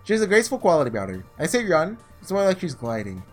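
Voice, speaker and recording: A man's voice: a little high, huge pitch swings, very fast, soft background music. A man doing let's-play commentary, reading a visual novel aloud dramatically and tossing in offhand, sarcastic asides. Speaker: gaming host reading aloud and reacting.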